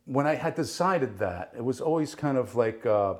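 A middle-aged man who grew up speaking Italian, talking as a guest in a relaxed interview. His speech wanders, full of fillers and restarts, and he often breaks into laughter. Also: medium pitch, moderate pace. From Italy. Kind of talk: casual interview chat.

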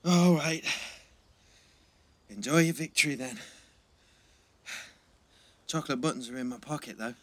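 A man playing a sensible, gentle teenage schoolboy, hesitant and understated, in a naturalistic audio drama. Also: mid-range voice, measured pace, long pauses, no echo, lightly acted. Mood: resigned, amiable.

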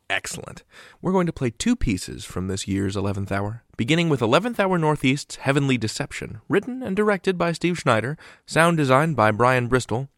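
A male podcast host with a gravelly edge asks short, brisk questions. His pitch moves a lot as he speaks. The sound is dry and close. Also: no echo. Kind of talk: interview hosting.

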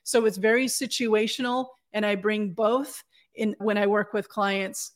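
A woman speaking as a podcast guest, giving earnest, articulate, measured interview answers. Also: mid-range voice, steady tone. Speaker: communications consultant, podcast guest.

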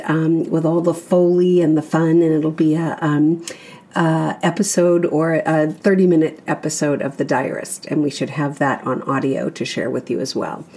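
A woman podcast host with a husky voice, casually addressing listeners with announcements. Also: low voice, dark tone.

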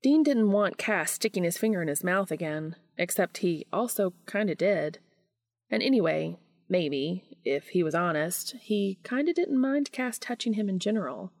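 Female fiction narrator, lowish register with noticeable vocal fry, dry intimate sound. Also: expressive, moderate pace, no echo. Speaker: audiobook reader of fan fiction. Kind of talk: scripted story narration.

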